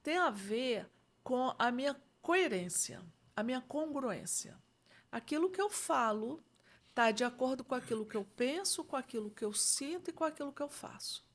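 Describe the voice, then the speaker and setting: A middle-aged woman with a Brazilian Portuguese accent talks through leadership ideas in an easy, reflective tone. Her voice sits a little higher than typical, and she often checks in with her listener as she explains. She sounds like an expert guest in a conversation. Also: lively intonation, moderate pace. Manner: reflective, uses frequent "né?" and "entendeu?".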